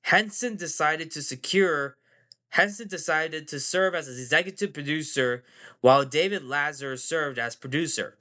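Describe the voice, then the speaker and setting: Stuffy-nosed male podcast host with a cold, slightly high voice, bright and forceful, loose and rambling with lots of fillers. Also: normal speed. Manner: rambling, repeats phrases and fillers. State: nasal from a cold. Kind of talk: casual movie review podcast.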